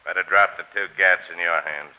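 A man's voice: low-pitched, fairly even intonation, moderate pace, bright, forceful tone, muffled recording. A man playing a menacing, cool gang boss who talks in threatening slang in an old-time radio crime drama. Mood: cold, menacing. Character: menacing, cool, double-cross-hating male gang boss. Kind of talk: 1940s radio acting.

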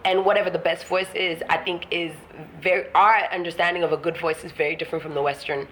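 A woman's voice: lowish voice, steady tone, fast talker, fairly bright tone, a little hiss or hum, rough recording. A woman from Ghana talking frankly and reflectively in an interview, laughing often and swearing casually. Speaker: guest artist.

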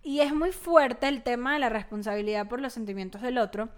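A Mexican-accented woman hosts a casual podcast, her voice a bit high. She talks in a relaxed, chatty way and now and then reads passages aloud. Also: a little fast. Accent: Mexican Spanish. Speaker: podcast host. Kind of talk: casual chat.

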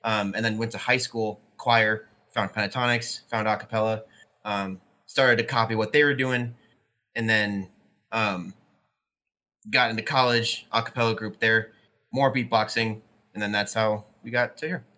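A man's voice: fairly low pitch, steady tone, moderate pace, bright, forceful tone. A young man in his twenties, co-hosting a podcast, talking casually with many ums and restarts. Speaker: podcast co-host.

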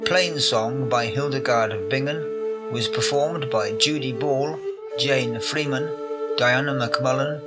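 A man announcing in a measured, scripted style, as if reading the credits of a production. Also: mid-range voice. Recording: loud woodwind music behind. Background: recorder music.

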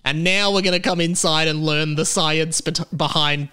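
A man's voice: on the high side, fairly even intonation, moderate pace, bright, forceful tone, clear, resonant tone. Australian man bantering on a comedy podcast. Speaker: podcast host. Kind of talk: casual comedic podcast chat.

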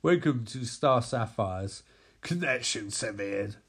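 A man's voice: mid-range voice, lively intonation, slow, vocal fry, fairly bright tone, breathy edge, heavily acted. A man voicing a solemn magical power ring that formally announces its chosen bearer in a comic story. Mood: solemn proclamation.

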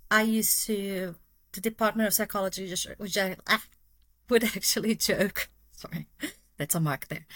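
A woman's voice: mid-range voice, a bit bright, no echo. A Brazilian woman, a neuroscientist guest, answering interview questions carefully with many fillers and self-corrections. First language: Portuguese. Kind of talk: casual interview talk.

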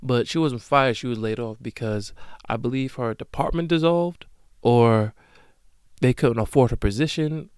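A man in his late twenties hosting a solo podcast, talking casually and profanely with sudden loud bursts. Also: medium pitch, moderate pace, smooth, dry recording, studio quality. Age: late 20s. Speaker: podcast host.

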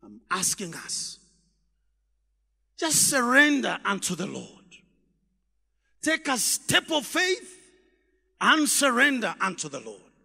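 High-pitched shouting preacher man, echoing hall. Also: wildly swooping pitch, slow pace, creaky, long pauses, husky. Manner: shouts emphatic lines, then drops low; repetitive call-and-response questioning. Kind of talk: sermon. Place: church.

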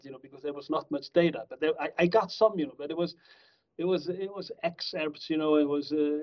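A middle-aged man from Iceland, a professor being interviewed, who rambles with many fillers and restarts. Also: on the high side. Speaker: guest criminology professor. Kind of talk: conversational interview answers. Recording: slightly dull lo-fi audio.

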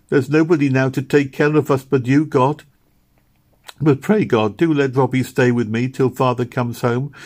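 A man's voice: lowish voice, darkish tone, pitched above the performer's own voice, close to an everyday voice. A man voicing a grieving poor little girl who pleads tearfully and earnestly.

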